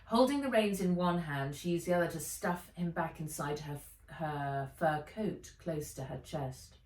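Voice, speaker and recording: A woman author reading a children's storybook aloud in a measured, expressive way. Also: sits low. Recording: echoey room, distant from mic.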